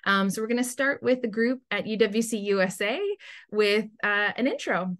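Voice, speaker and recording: A woman's voice: medium pitch, normal speed, a bit bright. A woman hosting a webinar in an informal, chatty, slightly hesitant way. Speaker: panel host, admissions committee staffer. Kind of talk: hosting an online webinar, casual introductions.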